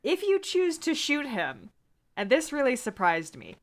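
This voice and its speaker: A woman's voice: high voice, huge pitch swings, a little slow. A woman podcast host casually recapping and reading from a book in a wry, amused way. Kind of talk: casual book discussion with plot recap and read-out passages.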